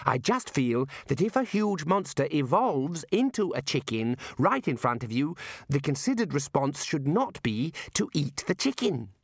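A man's voice: fairly high pitch, sing-song, measured pace, slightly rough, no echo, clean studio sound, heavily acted. A man voicing an earnest young wizard scholar who talks in a strained, fussy way. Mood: exasperated.

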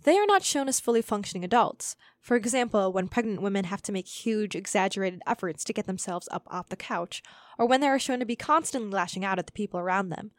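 A woman's voice: mid-range voice, lively intonation, fast, dry recording. A young woman reading a scripted essay aloud as narration for a student audio zine. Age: young adult.

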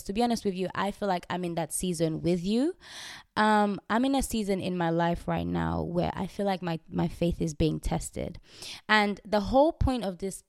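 A woman's voice: lowish voice, creaky, clean tone, dry recording, studio quality. A young adult woman hosting her own podcast in a casual faith talk, chatty and candid with fillers and frequent laughs; English is not her first language. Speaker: podcast host. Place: indoors, recording herself on video.

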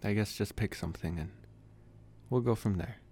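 A man playing a possessive, teasing young college vampire in an ASMR roleplay, speaking softly and intimately, with hesitant phrasing, sighs and chuckles. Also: on the low side, normal speed, breathy edge. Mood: easygoing, accommodating.

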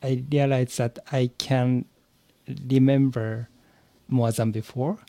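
A man with a Japanese accent, a native Japanese speaker, giving careful, halting interview answers in English as a podcast guest and learner. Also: mid-range voice, level tone, warm tone, no echo. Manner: hesitant, searching for words, halting phrases.

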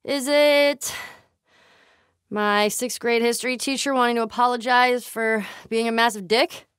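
A woman's voice: fairly high pitch, steady tone, unhurried, fairly bright tone, smooth, dry recording, clean studio sound. A woman playing a feisty, sarcastic, sweary girl in a naturalistic video game, with a blunt manner.

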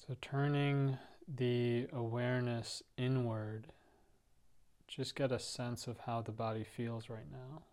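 A man leading a guided meditation, speaking gently and softly in unhurried, spaced-out phrases. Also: medium pitch, steady tone. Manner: soft, gentle, unhurried instructing with pauses. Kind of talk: guided body-scan meditation.

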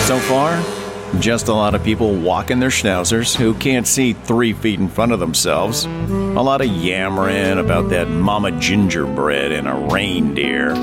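A man playing an eager young plain-spoken sidekick cop in a noir radio drama. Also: low-pitched.

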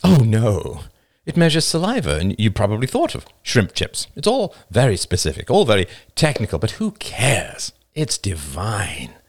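A man's voice: on the low side, huge pitch swings, moderate pace, pitched above the performer's own voice, heavily acted. A man voicing a genial, eager companion who talks with breezy enthusiasm.

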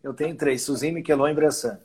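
Husky fifty-year-old man, rambling chat. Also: on the high side, fast, darkish tone. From southern Brazil. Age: 50s. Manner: rambling, restarts phrases, chuckles often. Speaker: winery owner, guest. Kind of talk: casual conversational interview.

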